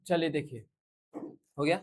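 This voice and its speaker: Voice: medium pitch, slow, darkish tone, slight background noise. A science teacher with an Indian accent lecturing school students, often asking a question, repeating it and answering it, with frequent check-in tags.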